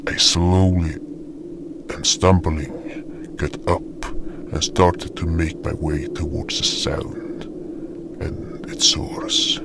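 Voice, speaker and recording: A man playing a somber fantasy guardian, narrating his story gravely and deliberately in an audio drama. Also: low voice, level tone, in a whisper. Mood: dazed, grave. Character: somber male fantasy guardian recounting a doomed hunt. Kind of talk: scripted first-person story narration. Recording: noisy background ambience.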